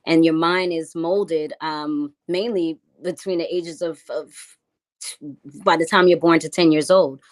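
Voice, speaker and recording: Deep-pitched female artist guest from New York City, loose and rambling in interview. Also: fairly even intonation, a little slow. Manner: rambling, hesitant with many fillers. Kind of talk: casual interview chat.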